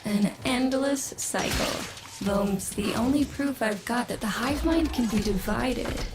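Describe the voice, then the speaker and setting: A woman's voice: fairly high pitch, slow, noisy background. A woman voicing a jaded, scornful scientist daughter in a video game, wry and condescending. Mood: dry, clinical. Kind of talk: scripted video game character acting.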